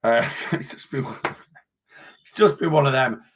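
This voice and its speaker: A man, a weight loss coach chatting casually in a vlog, a little hoarse from losing his voice and rambling as he goes. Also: mid-range voice, normal speed. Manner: chatty, rambling, self-interrupting.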